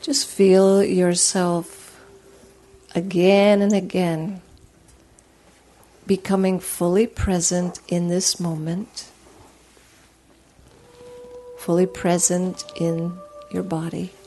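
Lady leading a guided meditation, really slow n gentle, lowish voice, lots of pauses. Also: level tone, faint music behind. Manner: soothing, gentle, unhurried with long pauses.

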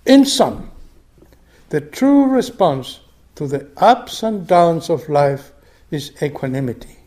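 Older man, slow reflective delivery, mellow voice. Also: fairly high pitch, expressive. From Argentina. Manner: slow, reflective, frequent restarts. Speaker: meditation group teacher. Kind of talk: dharma talk.

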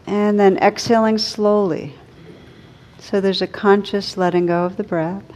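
A woman meditation teacher leading a guided meditation in a gentle, unhurried, soothing way with long pauses. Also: mid-range voice, fairly even intonation.

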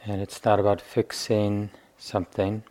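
Male meditation teacher, gentle delivery, very slow pace, flat steady intonation, long pauses, deliberate phrasing. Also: on the low side. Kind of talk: guided meditation instruction.

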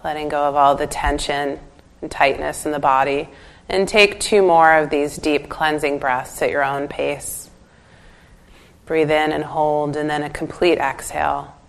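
A woman leading a guided meditation as a teacher, giving calm, gentle instructions with pauses. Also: sits low, creaky.

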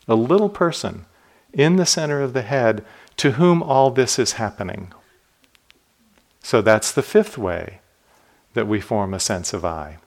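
A man teaching meditation in a dharma talk, calm and reflective, putting questions to his listeners. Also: medium pitch. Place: retreat center hall.

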